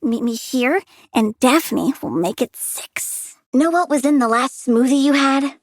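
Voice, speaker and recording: A woman's voice: high-pitched, a little slow, no echo. A woman playing a childlike, bratty plant-girl villain in a video game, gleeful and morbidly curious, with playful, flippant bluntness. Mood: sly threat. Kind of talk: video game character voice lines.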